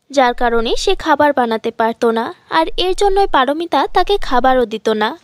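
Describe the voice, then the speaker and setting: A woman's voice: sits high, no room sound, clean studio sound. A woman narrating a scripted cartoon fairy tale as the storyteller.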